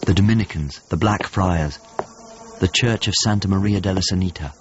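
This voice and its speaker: A man's voice: sits low, normal speed, natural voice. An actor playing an earnest, anxious painter who narrates his search for a friend in a radio drama, in clipped fragments. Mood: sombre, reflective. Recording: dull lo-fi radio drama, clearly noisy background.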